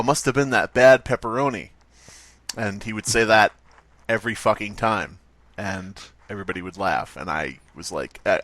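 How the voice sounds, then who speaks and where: A man's voice: on the low side, fairly bright tone, dry recording. A man hosting a podcast in casual comedic chat, with a wry manner and some casual swearing. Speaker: podcast host. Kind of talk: casual comedic chat, reading stories aloud.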